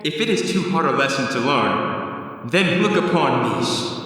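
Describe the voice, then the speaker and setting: A man plays a stern, aging ghostly spirit in a radio drama. The delivery is slow, grave and declamatory, with a forceful bright edge, ringing in a cavernous distant echo. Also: medium pitch, sing-song. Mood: grave warning. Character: solemn, stern, aging male Christmas spirit. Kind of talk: old-time radio play acting.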